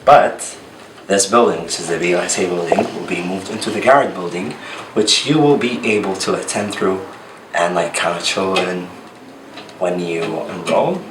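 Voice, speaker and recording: A young man chats playfully in a lowish voice, poking fun at himself. He stands back from the mic in an echoey room, and music plays behind him. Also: moderate pace, noisy background. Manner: chatty, playful, self-mocking. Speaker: student presenter. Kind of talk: casual vlog outro. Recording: roomy, from a distance, music behind.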